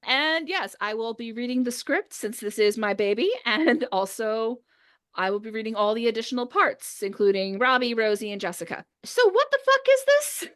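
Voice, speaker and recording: A woman's voice: on the high side, very animated, normal speed, a bit bright. Female podcast host and script reader, informal, conversational delivery among friends. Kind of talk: casual chat with friends before a script read-through.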